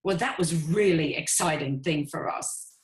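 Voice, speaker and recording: A woman with an Irish accent presenting a webinar to an online audience in an upbeat sales tone. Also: moderate pace. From Ireland. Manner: upbeat sales pitch, addresses listeners as "guys".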